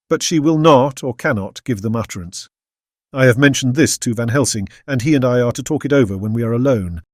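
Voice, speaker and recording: An actor playing a thoughtful Victorian gentleman doctor, narrating his diary in a measured, formal, reflective manner for an audiobook drama. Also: mid-range voice, dry recording, studio quality, close to an everyday voice.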